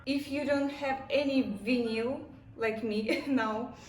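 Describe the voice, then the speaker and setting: A non-native English-speaking woman giving a casual unboxing and product review in short, halting phrases. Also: sits high, fairly even intonation. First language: not English. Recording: echoey room, distant mic, faint noise.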